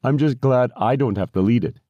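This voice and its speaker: A man's voice: mid-range voice, brisk, mellow, no room sound, highs cut off, voice pushed up, natural voice. A man voicing an awkward, polite young pastor in an audiobook, courteous and hesitant.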